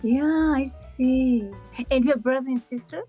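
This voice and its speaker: A woman hosting an interview, chatty and warm-paced, filling pauses with frequent yeahs and simple phrasing. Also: sits high, breathy edge, soft background music. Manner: chatty, frequent yeah fillers, non-native phrasing. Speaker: interviewer host. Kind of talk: casual interview chat.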